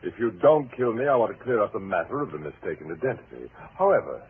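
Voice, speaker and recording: An actor playing a bitter, obsessed, menacing husband in a theatrical, mock-polite style for a 1940s radio thriller. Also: male voice, on the low side, big pitch swings, fast. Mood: cool, calculating. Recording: tinny, hissy 1940s radio recording.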